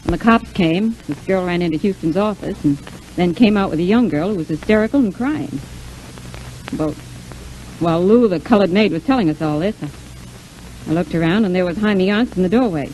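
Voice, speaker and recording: A woman's voice: fairly low pitch, fairly quick, warm tone, soft background music, natural voice. An actress playing a brash, defensive, scheming young showgirl in a 1940s radio serial. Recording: noisy old 1940s radio recording.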